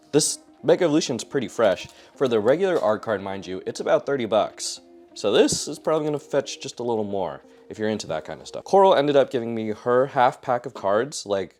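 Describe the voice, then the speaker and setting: A man from the Seattle area vlogging about opening trading cards at home, his voice hoarse and still recovering, talking in a chatty, rambling way that keeps correcting itself. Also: medium pitch, a little mellow. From Seattle area, USA. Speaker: card-collecting vlogger. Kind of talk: casual vlog talk to camera.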